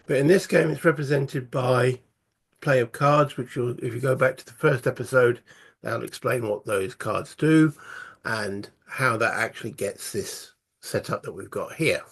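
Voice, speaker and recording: A man, croaky from a cold, casually talking through a solo board game and thinking aloud with many fillers. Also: mid-range voice, unhurried. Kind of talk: casual tabletop playthrough commentary.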